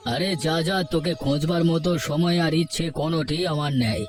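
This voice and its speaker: A stern village headman acted by a man for a cartoon story. He is cold, dismissive and bossy, in a fairly high male register over soft music. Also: fairly even intonation, moderate pace, lightly acted. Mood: contemptuous. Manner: harsh, dismissive, commanding. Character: stern, heartless village headman evicting a family. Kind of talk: Bengali cartoon story acting.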